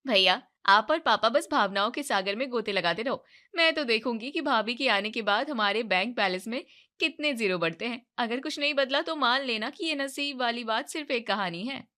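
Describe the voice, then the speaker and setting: A woman's voice: on the high side, expressive, normal speed, bright, forceful tone. A woman voicing a blunt, sarcastic, money-hungry young daughter in a moral-story cartoon. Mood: scornful, insistent. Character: sharp, greedy, money-minded young daughter, scornful and insistent.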